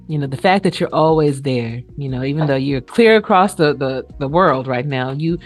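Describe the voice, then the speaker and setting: A woman hosting a casual chat show, talkative, informal and expressive. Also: low voice, normal speed. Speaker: show host. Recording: background music under the voice.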